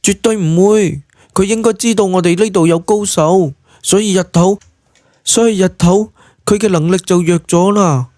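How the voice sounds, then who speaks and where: Man putting on a high-voiced, earnest young Cantonese woman. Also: normal speed, dark tone, no room sound, studio quality, lightly acted. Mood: reassuring. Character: young woman, earnest, curious, gently reassuring.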